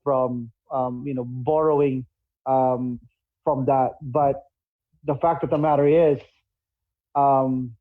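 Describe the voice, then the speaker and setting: A man's voice: mid-range voice, fairly even intonation, slow, pauses often, narrow, tinny audio. A man co-hosting a casual current affairs chat, conversational and hesitant, often repeating phrases. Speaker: talk show co-host.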